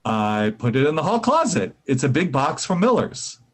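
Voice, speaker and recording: A brisk, amiable husband from a vintage radio sitcom, acted by a man. His voice is on the high side and animated, yet matter-of-fact. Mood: brisk, cheerful. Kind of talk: old-time radio comedy acting.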